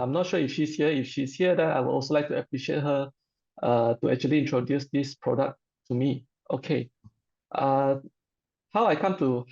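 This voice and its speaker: Halting, gravelly Malaysian man in lo-fi audio. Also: mid-range voice, a little mellow. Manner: halting, hesitant delivery. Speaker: customer giving a testimonial. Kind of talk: informal personal testimonial.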